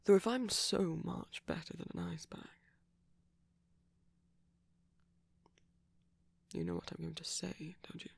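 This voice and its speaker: A woman playing a gentle, doting vampire boyfriend in a soft-spoken romantic ASMR roleplay, tender and lightly teasing, often whispering close to the microphone. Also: mid-range voice, fairly quick, gravelly, dry recording. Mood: tender, soothing.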